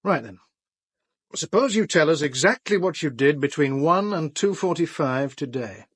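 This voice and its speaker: An actor playing a measured, dryly sceptical police inspector questioning suspects in a radio play. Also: male voice, fairly high pitch, lively intonation, breathy edge, no room sound, studio quality. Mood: businesslike.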